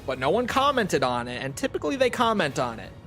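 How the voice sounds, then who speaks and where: A man's voice: on the high side, sing-song, fast talker, a bit bright, faint music behind. A man streaming a game and chatting casually with viewers in a rambling, self-deprecating, casually profane way. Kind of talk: casual livestream chat, replying to viewers.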